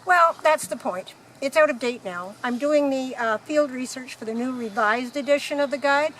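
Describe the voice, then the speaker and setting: A woman's voice: high-pitched, moderate pace, a bit bright, a little hiss or hum. A woman playing a breezy, knowing galactic hitchhiker in a radio play, explaining things in a matter-of-fact way. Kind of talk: amateur radio play acting.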